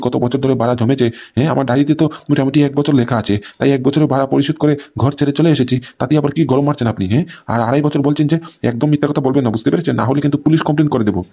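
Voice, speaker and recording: Man voicing a fast-talking blustery old man. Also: mid-range voice, warm tone, lightly acted. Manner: emphatic, repeats tag questions. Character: blustering, touchy old father-in-law, a former tenant. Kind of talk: amateur comedy cartoon dialogue.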